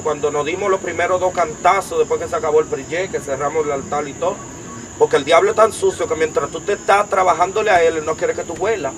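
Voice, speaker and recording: A young man with a Dominican accent, giving an emphatic personal testimony as an interview guest, piling up details in long rapid lists. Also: fairly high pitch, noisy background. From Dominican Republic. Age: young adult.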